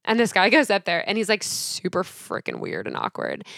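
A young woman co-hosting a podcast, telling animated stories in casual chat and acting out the people she quotes. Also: mid-range voice, a little fast, creaky, dry recording, studio quality. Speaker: podcast co-host.

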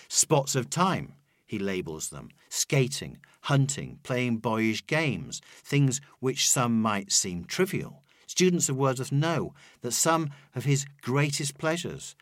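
A man reading a scripted literary essay in a measured, articulate, lecturing manner. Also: mid-range voice, no echo, clean studio sound. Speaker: essay reader and narrator. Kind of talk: scripted literary narration with poetry quotations.

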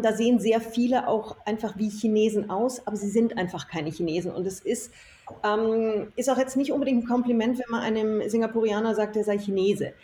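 A woman with a fairly high yet mellow voice talks as an interview guest. She is enthusiastic and emphatic in her conversational storytelling. The room is noticeably echoey. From Germany. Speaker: interview guest, self-employed former marketing executive. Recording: echoey room, faint noise.

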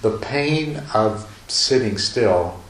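A man giving a meditation talk to a retreat group, talking loosely with many restarts and soft chuckles. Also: fairly low pitch, animated, drawn-out words. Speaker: meditation teacher. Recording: echoey room, faint noise.

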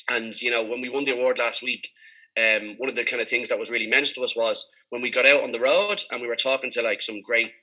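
A man's voice: medium pitch, bright, forceful tone, poor audio. A man from Dublin with a Dublin Irish accent, chatting casually and in a rambling, informal way as a podcast host being interviewed. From Dublin, Ireland.

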